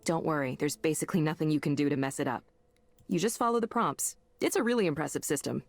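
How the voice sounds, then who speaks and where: An actress playing a brisk, faintly condescending female supervisor in a visual novel game, with an instructive, matter-of-fact delivery. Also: sits low. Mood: reassuring, businesslike. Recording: loud background music.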